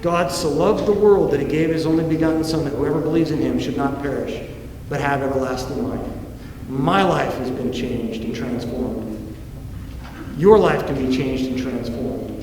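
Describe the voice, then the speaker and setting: A man's voice: mid-range voice, darkish tone, distant mic, a little hiss or hum. Earnest man preaching in a reverberant hall. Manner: earnest, preacherly, slowing for emphasis. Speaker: ministry president giving a talk. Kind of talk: formal apologetics talk.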